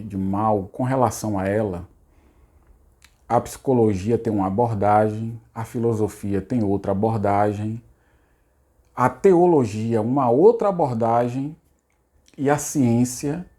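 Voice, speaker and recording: A hoarse middle-aged man, a psychologist giving an unscripted self-help talk on spirituality, repeating himself and asking rhetorical questions. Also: lowish voice, mellow. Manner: repetitive, rhetorical, casual asides. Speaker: psychologist hosting a channel.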